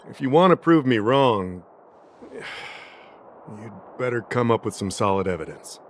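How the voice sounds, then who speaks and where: An actor playing a shrewd, insistent investigator in a video game, deliberate and logical as he reasons and questions. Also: medium pitch, animated, a little hiss or hum, natural voice. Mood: accusing, insistent. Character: shrewd, insistent male investigator pressing an accusation. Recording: music behind the voice.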